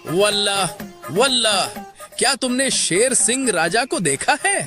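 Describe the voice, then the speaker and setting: A man's voice: high-pitched, expressive, a little slow, sharp tone, faint music behind, exaggerated voice. A man voicing a proud, cagey foreign camel sheikh in a cartoon, speaking exclamatory broken Hindi with muddled grammar. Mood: grand. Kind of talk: animated moral-story character acting.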